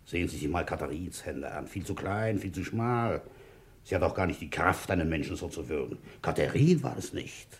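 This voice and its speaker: An actor playing a methodical, weary police inspector in a German radio crime drama, with a husky voice. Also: male voice, low voice, animated, moderate pace, slight background noise. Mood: probing, stern. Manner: brooding in narration, firm and probing in questioning.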